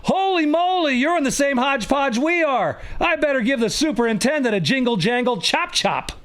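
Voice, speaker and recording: A man's voice: extremely high pitch, very animated, fairly quick, fairly bright tone, pitched above the performer's own voice, exaggerated voice. A man voicing a jaunty, fussy male school principal in a storybook, with playful exclamations. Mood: alarmed.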